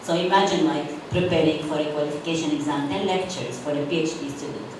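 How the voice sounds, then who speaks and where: A woman from Syria gives an earnest comment in at a university symposium. She sits far from the mic, so her low voice carries through a very echoey, noisy hall. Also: fairly even intonation, quick pace. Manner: earnest, non-native phrasing. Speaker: audience member. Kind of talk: panel discussion comment. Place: university auditorium.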